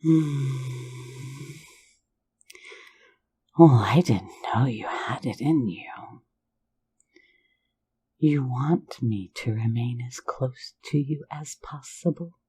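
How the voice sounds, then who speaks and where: A woman voicing a teasing, flirtatious phantom thief in an ASMR roleplay, in a soft, intimate whisper right at the mic. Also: rumbling low, drawn-out words, pauses often, husky, heavily acted. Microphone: close mic for ASMR.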